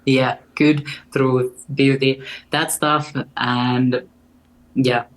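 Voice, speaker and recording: A young woman with a Finnish accent and a deep voice, speaking as a guest in an earnest, reflective way. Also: flat delivery, very slow, poor audio. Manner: earnest and reflective, with light laughs. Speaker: guest artist. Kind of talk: casual interview conversation.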